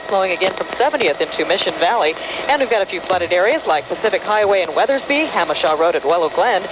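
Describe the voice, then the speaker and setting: Woman, traffic reporter, fast delivery, matter-of-fact, hissy broadcast feed. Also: mid-range voice. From Southern California. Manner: brisk, matter-of-fact listing of road conditions.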